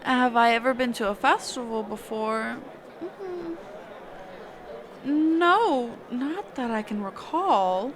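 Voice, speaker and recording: Woman playing a slow-spoken, high-voiced reclusive mage. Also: expressive, a faint crowd, a little hiss or hum. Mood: awkward, curious. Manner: hesitant, evasive, with trailing pauses and hums. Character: reclusive, socially awkward female mage teacher. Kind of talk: character roleplay audio, one side of a dialogue.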